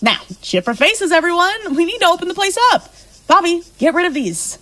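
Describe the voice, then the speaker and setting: A woman's voice: very high-pitched, big pitch swings, a little fast, a bit bright, heavily acted. A woman acting in an audio drama as a stubborn, protective, bossy rancher boss after the apocalypse. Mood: exasperated, bossy.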